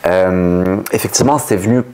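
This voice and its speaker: A man's voice: low voice, moderate pace, darkish tone, clean tone. A young man speaking as an interview guest in a conversational way, often rephrasing himself as he explains.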